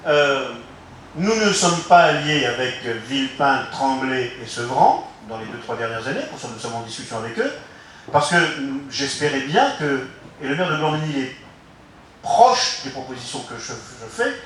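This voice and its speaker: Echoey, distant, higher-voiced man explaining. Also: a bit bright. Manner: halting, emphatic, didactic with rhetorical questions. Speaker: local politician speaking on town alliances. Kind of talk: unscripted explanatory speech to listeners. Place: reverberant room, recorded from some distance. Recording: very echoey room, distant mic, faint noise.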